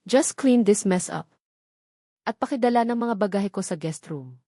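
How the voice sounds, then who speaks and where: A woman's voice: mid-range voice, lively intonation, normal speed, warm tone, dry recording, studio quality. A woman voicing a cold, curt rich heir. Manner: curt and sarcastic, mixing English into Tagalog. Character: cold, commanding wealthy young heir, male.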